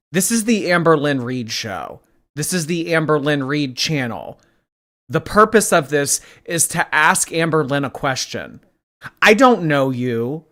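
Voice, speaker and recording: Sarcastic, bright-voiced commentary man. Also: medium pitch. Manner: sarcastic, blunt and profane. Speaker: commentary YouTuber. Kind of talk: reaction commentary.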